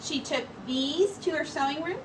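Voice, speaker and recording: A woman teacher reading a picture book aloud to young children in an expressive, animated storytelling manner with dramatic pauses. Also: high-pitched. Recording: distant mic, faint background noise.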